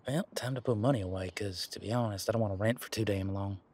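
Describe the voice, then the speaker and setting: Husky, easygoing werewolf guy, played by a man. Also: lowish voice, fast talker, darkish tone. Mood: earnest, reassuring. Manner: informal, chatty, frank, mildly profane. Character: easygoing, protective male werewolf, imposing but responsible. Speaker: voice actor in audio roleplay. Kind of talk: casual conversational audio roleplay monologue.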